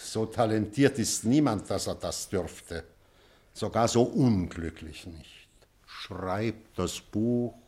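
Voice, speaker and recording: An actor playing a weary, remorseful old male schoolteacher in a literary drama, pensive and measured, with weighty pauses. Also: lowish voice, darkish tone. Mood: earnest. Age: older. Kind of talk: German literary stage drama.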